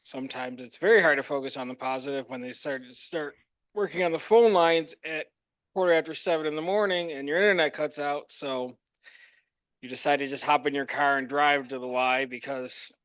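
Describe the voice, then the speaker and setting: A man giving a prepared club speech in an earnest, measured, reflective way. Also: on the high side, clear, resonant tone, no echo. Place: meeting room.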